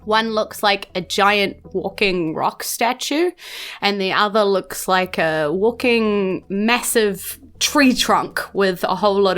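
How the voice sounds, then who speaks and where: Animated, bright-voiced New Zealand woman game master. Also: medium pitch. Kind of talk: improvised narration of a tabletop game. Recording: music bed behind the voice.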